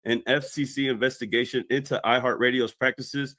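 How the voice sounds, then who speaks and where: A man's voice: medium pitch, steady tone, brisk, a bit bright, dry recording, clean studio sound. A man delivering scripted explanatory commentary as a video commentator.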